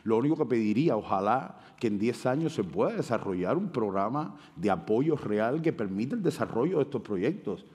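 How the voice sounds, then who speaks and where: A Cuban man in his sixties talking into a headset mic on an auditorium stage, his voice mellow and dark. He speaks with heat and stumbles on fillers, and the long hall reverb trails behind every phrase. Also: medium pitch, fairly quick, few pauses. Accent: Cuban Spanish. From Cuba. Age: 60s. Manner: animated, gestures widely, many hesitations. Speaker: panel speaker. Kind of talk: impassioned panel debate.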